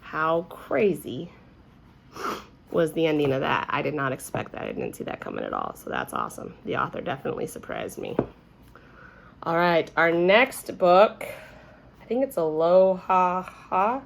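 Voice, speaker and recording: A woman's voice: low voice, sing-song, moderate pace, gravelly, slight background noise. A woman hosting a children's read-aloud, chatting casually between books.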